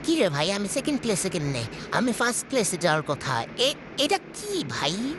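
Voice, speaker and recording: A woman's voice: medium pitch, wildly swooping pitch, moderate pace, background noise. A woman with a Bangladeshi accent giving casual, exclamatory gaming commentary through a voice changer, talking to herself and repeating words. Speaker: gaming video host.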